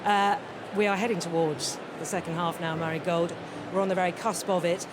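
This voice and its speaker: Lower-voiced woman presenting live over venue noise. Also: steady tone, fairly quick. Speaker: radio presenter and interviewer. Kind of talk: live broadcast interview and commentary. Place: large concert hall during the interval. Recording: clear crowd and venue noise.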